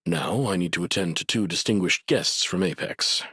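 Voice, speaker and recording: A man's voice: low voice, moderate pace, pushed and bright, husky, no echo, clean studio sound, full character voice. A man playing a courteous, earnest scholarly administrator in an anime game, speaking formally and politely. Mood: polite, composed. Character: courteous, earnest male academic district administrator. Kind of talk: scripted anime game dialogue.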